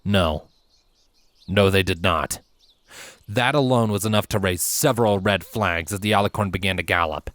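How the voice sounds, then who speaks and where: A man's voice: low voice, normal speed, fairly bright tone, dry recording. A man reading a scripted fantasy story aloud as its narrator. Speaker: fan fiction reader, narrator. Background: background music.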